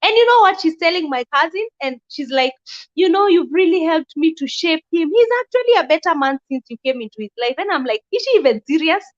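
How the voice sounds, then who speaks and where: A woman's voice: very high-pitched, sing-song, brisk, muffled recording. A young woman speaking bluntly and emphatically as a guest in a casual discussion.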